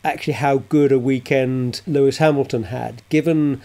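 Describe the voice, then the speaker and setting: A man co-hosting a motorsport chat, measured and analytical as he talks through the race. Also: mid-range voice, fairly even intonation, mellow. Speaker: co-host. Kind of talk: sports commentary chat.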